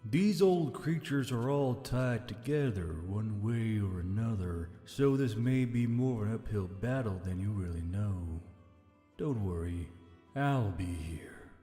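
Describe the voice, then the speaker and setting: Deep, cavernous, ancient male spirit played by a man. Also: animated, measured pace, mellow. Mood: grave, knowing. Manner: formal, archaic storytelling, easily exasperated. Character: ancient aloof sentient torque, exasperated, storytelling male spirit. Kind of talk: scripted audiobook character acting. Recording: long reverb, music behind.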